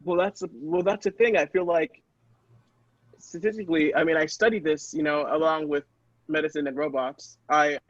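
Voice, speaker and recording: A man's voice: fairly high pitch, fairly even intonation, moderate pace, no room sound, lo-fi sound, natural voice. A man playing a persuasive, rambling doctor-inventor hawking robots in an improvised comedy sketch. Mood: persuasive, defensive. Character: salesy male doctor inventor pitching his robots.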